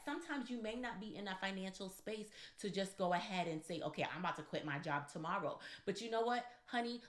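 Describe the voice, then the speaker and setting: A woman co-hosting a relationship advice show, talking conversationally and emphatically, straight to the listeners. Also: medium pitch. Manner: emphatic, addresses listeners directly. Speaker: podcast co-host. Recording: echoey, roomy sound.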